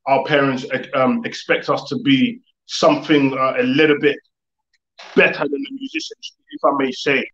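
Young man, interview guest, medium pitch, casual reflective storyteller, roomy and muffled video-call sound. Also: animated, moderate pace, fairly bright tone. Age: young adult. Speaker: guest, music producer. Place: living room, video call. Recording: echoey room, dull video-call audio.

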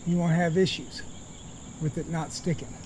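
Relaxed man explaining boat repairs outdoors. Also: fairly high pitch, normal speed, slight background noise. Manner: relaxed, explanatory, walking through each step. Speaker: boat refit vlogger. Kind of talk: casual how-to narration. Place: outdoor boatyard under a hull. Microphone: handheld camera at close range.

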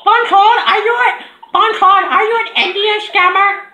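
Man putting on a squeaky, very high-pitched ditzy woman. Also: fairly even intonation, a little slow, sharp tone, exaggerated voice. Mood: mock-friendly. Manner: repetitive, mock-innocent, pestering questions. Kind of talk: prank phone call. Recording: roomy echoing indoor recording.

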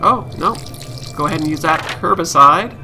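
A man's voice: on the high side, moderate pace, vocal fry. A man giving live let's play commentary, muttering short reactions to himself as he plays. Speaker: gaming streamer. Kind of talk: let's play live stream commentary, reading game text aloud. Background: game music.

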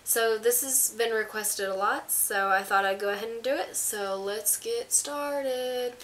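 A woman's voice: on the high side, a little slow, a bit bright, slight background noise. A teenage girl with a stuffy, congested voice, chatting to camera in her bedroom as she shows off items in a rambling, casual way. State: congested, under the weather. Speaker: vlogger. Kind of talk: casual product show-and-tell to camera. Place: small bedroom indoors. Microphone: camera on a stand in front of her.

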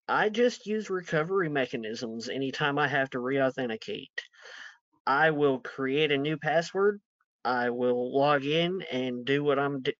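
A man's voice: mid-range voice, fairly bright tone, no echo. Man on a dry, muffled video call. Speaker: webinar co-presenter. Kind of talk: casual conversational answer. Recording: dry, dull lo-fi webinar audio.

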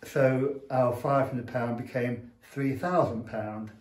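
An older man with an English accent, a priest giving an address in a halting, measured, earnest way. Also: medium pitch, fairly even intonation, darkish tone. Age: older, around 70s. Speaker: Orthodox parish priest. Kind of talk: unscripted fundraising address to camera. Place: small indoor chapel room. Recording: roomy echo from camera mic.

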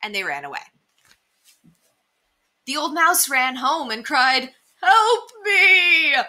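A young woman reading children's stories aloud as an expressive storyteller. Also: high-pitched, slow pace. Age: young adult. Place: small indoor room.

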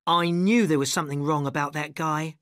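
A reader voicing a suspicious, confrontational young woman who speaks in a high, accusing tone. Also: fairly even intonation, fairly quick, fairly bright tone, no room sound, clean studio sound, natural voice. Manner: shrill, accusing, high pitched. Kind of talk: audiobook character voice.

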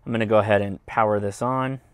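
A man's voice: on the low side, steady tone, fast talker, clean tone, no echo. An American man in his thirties giving a casual, explanatory product review and demonstration. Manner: informal, explanatory. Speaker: host, radio reviewer. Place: indoors, home radio studio and workbench.

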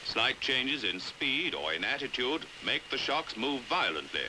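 Man, British accent, 1950s educational narration, measured and precise, animated pitch, faint film hiss. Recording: hissy old 1950s film soundtrack.

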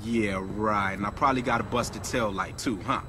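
A man's voice: on the low side, moderate pace, noisy background, close to an everyday voice. Cool young street hustler, played by a man. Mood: defiant. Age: young adult. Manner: slangy, laid-back, self-assured. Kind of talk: video game cutscene acting.

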